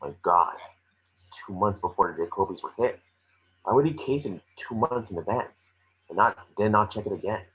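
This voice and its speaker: A man playing a gruff, weary, impatient FBI boss with a deep husky voice over a video call. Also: lively intonation, moderate pace, fairly bright tone, low-quality recording. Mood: troubled, probing. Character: Jack Crawford, impatient, weary male FBI chief. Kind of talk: table-read acting.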